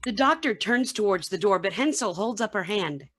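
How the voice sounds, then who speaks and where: Female narrator, radio play stage directions. Medium pitch, scripted read. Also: expressive, normal speed, fairly bright tone.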